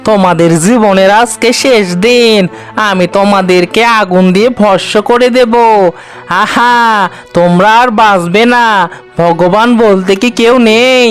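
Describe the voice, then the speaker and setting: A man's voice: sits high, a little slow, faint music behind. A man voicing a stern, wrathful god in a cartoon, declaiming threats of judgment. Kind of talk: cartoon voice acting.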